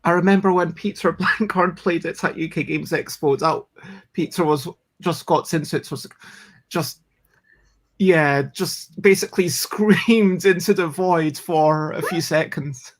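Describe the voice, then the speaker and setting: A chatty host of a board game show, speaking at an ordinary middle pitch. They ramble casually, restart their sentences, and poke gentle fun at themselves as they go. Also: measured pace. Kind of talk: casual chat.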